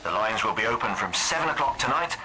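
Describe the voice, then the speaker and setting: An actor playing an earnest, provocative local radio presenter giving a dramatic, rhetorical broadcast. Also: male voice, lowish voice, expressive, fast, background noise, close to an everyday voice. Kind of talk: news-style radio broadcast within a drama.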